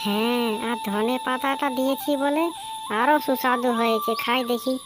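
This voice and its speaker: High-pitched caring village mother played by a woman. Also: fairly even intonation, normal speed, over loud music, lightly acted. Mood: affectionate. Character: caring poor village mother selling fish, eager. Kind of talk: animated story voice acting.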